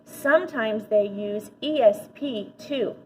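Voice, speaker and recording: A woman voicing a flustered schoolkid bluffing through a class speech in a storybook, halting and overbright. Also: fairly high pitch, a little hiss or hum, poor audio.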